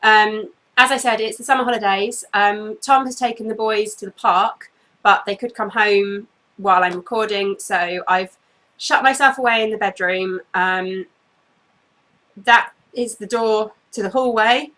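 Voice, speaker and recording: A woman in her thirties talks casually to camera from her bedroom, a bit sniffly. Her voice is bright and clear, and she rambles off on tangents, apologizing with frequent ums. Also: mid-range voice, measured pace, low-quality recording. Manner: chatty, apologetic, goes off on tangents with many ums. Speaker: knitting podcast host. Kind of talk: casual video podcast chat. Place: bedroom at home, indoors.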